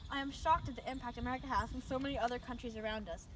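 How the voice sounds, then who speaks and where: A teenage girl playing an eager young street reporter, brisk and gushing, in an amateur newscast skit. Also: on the high side, fairly even intonation, close to an everyday voice. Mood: earnest, impressed. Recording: noisy amateur outdoor recording.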